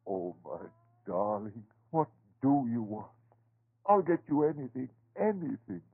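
An actor playing a distraught, pleading husband with a terrible secret, in halting 1930s radio horror style. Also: male voice, medium pitch, very animated, breathy edge, dry recording, heavily acted. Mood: desperate pleading. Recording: old muffled 1930s radio, tinny.

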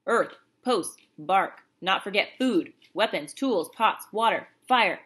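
A woman voicing a calm, stoic male warrior who speaks in clipped, broken phrases. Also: mid-range voice, takes it slowly. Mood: terse.

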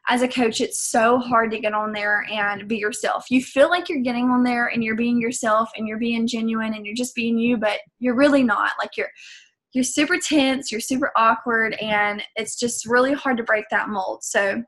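Young Southern woman, a coach, chatty vlog delivery, a bit high-voiced. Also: fairly even intonation, moderate pace, a bit bright. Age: young adult. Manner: chatty, filler-heavy, earnest. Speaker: fitness business coach. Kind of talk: casual vlog talk to camera.

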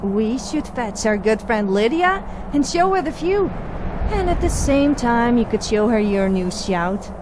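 A woman voicing a mischievous young adventuring companion in a fantasy game, with a deadpan, teasing delivery. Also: on the high side, unhurried, noisy background. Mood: sly, teasing. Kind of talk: fantasy game mod dialogue.

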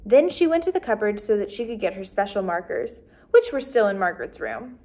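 A woman in her twenties reading a children's book aloud as a teacher, with expressive, clearly paced narration. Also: mid-range voice, fairly quick. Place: small decorated indoor room.

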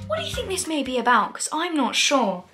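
A woman's voice: high-pitched, wildly swooping pitch, a little fast, music in the background. A young girl reading a children's picture book aloud with careful expression and the odd stumble. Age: child, about 10 to 13. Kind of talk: read-aloud storytelling for children. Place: small room at home, indoors. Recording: echoey small room.

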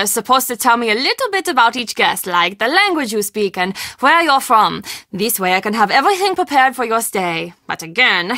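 An actress playing a formal, careful castle guest-services manager in a video game. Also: female voice, a little high, pushed and bright, dry recording, studio quality. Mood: welcoming, apologetic. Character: polite, anxious female German castle guest-services manager.

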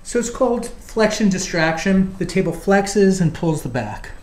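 A man's voice: high voice, animated, moderate pace, a little mellow. A middle-aged man talking casually as a chiropractor while he treats a client in a treatment room. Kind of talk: casual hands-on treatment talk. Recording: echoey room with faint background noise.

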